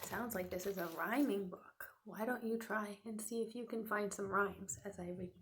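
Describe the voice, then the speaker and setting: A woman's voice: mid-range voice, fairly even intonation, normal speed, background noise. A woman teacher giving a read-aloud lesson for children, coaxing young learners with questions. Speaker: teacher reading a picture book to children.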